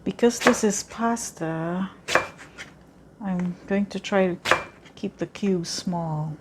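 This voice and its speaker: A woman's voice: on the low side, takes it slowly. A woman from the Philippines, whose first language is Tagalog, chats casually through a cooking demonstration, thinking aloud with many fillers. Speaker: cooking vlogger. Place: home kitchen. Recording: clear kitchen noise behind. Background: clatter of pots and cutlery.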